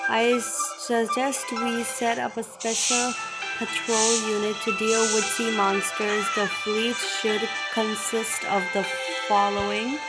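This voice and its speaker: Slow-paced woman gamer. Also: mid-range voice, rough recording. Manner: halting reading, stumbling over long words. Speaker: gaming streamer. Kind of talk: casual game playthrough, reading dialogue aloud. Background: loud game music.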